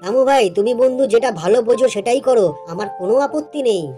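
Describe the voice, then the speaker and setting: Woman as a quick-talking clever cartoon goat. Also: a little high, mellow, faint music behind, lightly acted. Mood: friendly, caring. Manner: coaxing, pleading. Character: clever, quick-witted friendly male goat. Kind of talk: children's animated folk tale acting.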